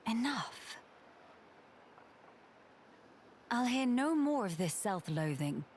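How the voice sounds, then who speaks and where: An actress voicing a kind, resolute young crown princess in a video game drama, with a British accent and formal, earnest delivery. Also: female voice, on the high side, big pitch swings, measured pace, a little hiss or hum. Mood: firm.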